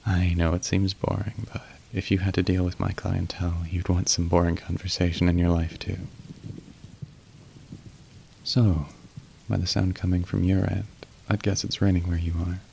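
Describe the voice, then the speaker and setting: Casting: male actor as incubus on a demonic phone line, ASMR. Deep, subdued melody, polite, wry, a touch awkward; rain in the background. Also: level tone, moderate pace, gravelly, warm tone. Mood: casual, chatty. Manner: polite, wry, suggestive turning awkward and apologetic. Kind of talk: ASMR roleplay acting. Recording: rain noise behind the voice. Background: falling rain.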